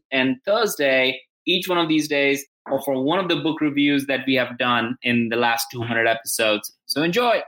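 A man's voice: on the high side, a bit bright, low-quality recording. A man hosting a business podcast, talking casually and enthusiastically, often repeating words as he goes. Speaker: podcast host.

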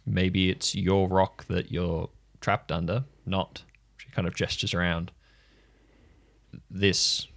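A man's voice: low-pitched, level tone, takes it slowly, gravelly, fairly bright tone, exaggerated voice. A man playing a kind, thoughtful listener in an improvised audio drama, feeling out his words hesitantly. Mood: tentative, reassuring. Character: gentle counselling acquaintance, slightly awkward, thoughtful, kind.

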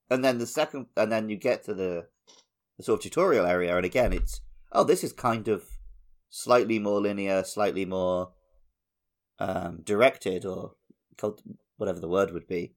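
A man's voice: on the low side, normal speed, long pauses. A man co-hosting a podcast, chatting casually about a video game and often searching for his words as he goes. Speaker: podcast co-host.

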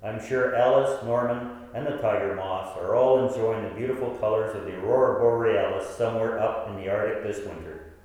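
A man playing a gentle, wistful character in a radio play, with a tender storytelling manner. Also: lowish voice, brisk, lightly acted. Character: gentle man named Paul, wistfully remembering a dying woman. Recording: echoey hall, distant, music underneath.

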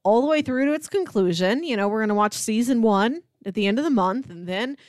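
A woman's voice: on the high side, expressive, fairly quick, a little mellow, no echo. Casual woman podcast co-host, dry close sound. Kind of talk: casual film and TV podcast chat.

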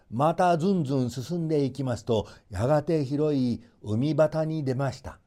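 A male storyteller reads a folk tale in theatrical style. His voice sits a bit high and moves with very lively rises and falls.

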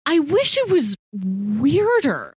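A woman's voice: high voice, huge pitch swings, slow, darkish tone, no room sound, studio quality. A woman co-hosting a podcast in casual chat, talking with enthusiasm and strong opinions about what she watches. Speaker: podcast co-host discussing a TV series.

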